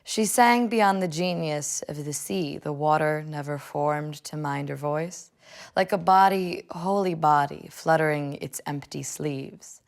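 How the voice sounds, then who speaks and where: An American woman in her twenties, speaking and reading poetry with measured, deliberate phrasing. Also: low voice, vocal fry. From United States, Illinois. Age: 20s. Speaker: law student reading a poem. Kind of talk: personal reflection and poetry reading. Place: indoors, furnished living room.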